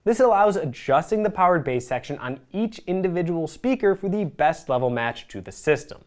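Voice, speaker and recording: A presenter reading a scripted product review in a measured, explanatory way. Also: mid-range voice, lively intonation.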